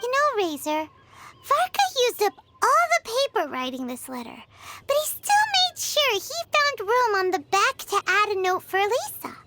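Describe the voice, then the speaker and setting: A woman's voice: very high-pitched, very animated, heavy background noise. A woman voicing a tiny, chatty floating fairy companion with a chirpy, curious way of talking in a game cutscene. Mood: reassuring. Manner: chirpy, quick reactions, curious questions.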